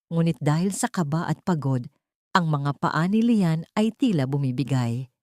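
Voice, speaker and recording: A woman's voice: deep voice, darkish tone, no echo, studio quality. A woman with a Filipino accent reading a dramatic scripted audiobook story as narrator.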